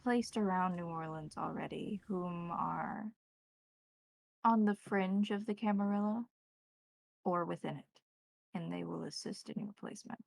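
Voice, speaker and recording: A woman playing a courteous, calculating vampire elder in a tabletop game, speaking formally with slow, careful wording. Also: on the low side, creaky, a little mellow, no echo, rough recording. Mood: measured, secretive.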